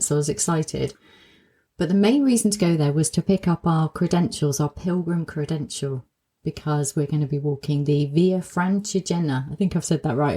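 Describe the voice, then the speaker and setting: A woman hosting her own coaching podcast, talking reflectively and musing aloud, with a slightly croaky voice from a cold. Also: fairly low pitch. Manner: warm-hearted, musing, self-questioning. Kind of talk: reflective solo podcast monologue.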